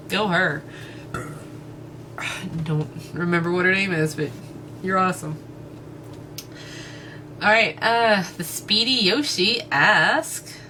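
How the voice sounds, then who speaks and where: A woman with a thick East Texas accent, casually answering fan questions for her channel, relaxed and hesitant with lots of fillers. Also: on the low side, slight background noise. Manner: relaxed, hesitant, many fillers and small laughs. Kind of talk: casual Q&A chat.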